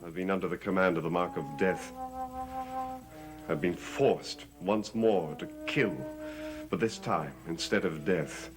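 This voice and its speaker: An actor playing a haunted, earnest master of a gothic mansion in a dramatic soap opera. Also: male voice, low-pitched, animated, unhurried, over music. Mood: grave, earnest.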